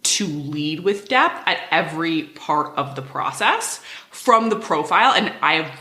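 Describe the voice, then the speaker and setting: A woman in her thirties hosts a podcast in a lively, animated way, her pitch swinging widely. Her voice is low-pitched yet bright and forceful, and it rings in an echoey room. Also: measured pace. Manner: animated, laughs often. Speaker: podcast host. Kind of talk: casual podcast chat.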